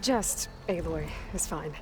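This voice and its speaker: A woman's voice: sits low, slow pace, over music. A woman playing a determined, guarded young hero in a video game, speaking in an earnest, terse, matter-of-fact way. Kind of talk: video game cutscene acting.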